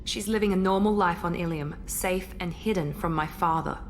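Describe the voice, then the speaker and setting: A woman's voice: on the low side, normal speed, slight background noise, close to an everyday voice. A woman plays a proud, guarded operative in a sci-fi game. She speaks, formal and tightly controlled, over faint ship ambience. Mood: guarded, serious. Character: proud, guarded, genetically engineered female operative. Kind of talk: video game character acting.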